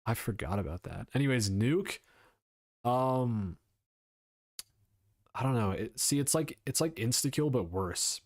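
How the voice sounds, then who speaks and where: A man's voice: mid-range voice, expressive, unhurried, pauses often, no echo, clean studio sound. A young man streaming live, chatting loosely with viewers, rambling and swearing now and then. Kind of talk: casual live-stream commentary.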